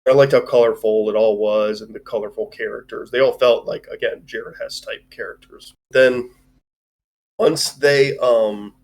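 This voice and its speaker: Casual man chatting, rambling and halting. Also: medium pitch, fairly even intonation. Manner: rambling, halting, often swearing. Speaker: movie review show host. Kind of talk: casual chat, film discussion.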